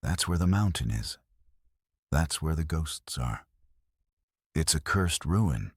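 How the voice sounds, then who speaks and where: A man voicing a gruff, battle-worn, superstitious mercenary in an audiobook story, blunt and foreboding. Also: a bass voice, animated, slow, no room sound, clean studio sound, full character voice. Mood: grim.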